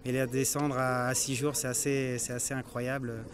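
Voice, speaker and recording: A male co-skipper speaks with very little rise and fall in his voice, pausing and restarting as he talks about the finish. He is interviewed outdoors at a harbour at night on a handheld mic. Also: mid-range voice, flat delivery, background noise.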